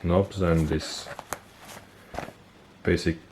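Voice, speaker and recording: A man with a stuffy nose, casually explaining military gear on camera, relaxed and thinking aloud with fillers and self-corrections. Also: low voice, very slow, noisy background. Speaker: military gear collector and video host. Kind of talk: casual show-and-tell explanation.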